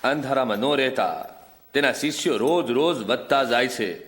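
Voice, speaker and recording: A man acts out a wary conspirator in a radio-style drama. His medium-pitched voice carries a Kathiyawadi Gujarati accent. The sound is roomy and echoing. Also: normal speed, a bit bright, close to an everyday voice. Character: wary male conspirator warning of a rival's influence. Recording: roomy, echoing, slightly distant.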